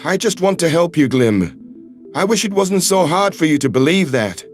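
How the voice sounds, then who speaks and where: A man voicing a calm, earnest human man who speaks in firm, measured, reassuring tones. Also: fairly high pitch, faint music behind, voice pushed down. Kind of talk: audiobook character voice.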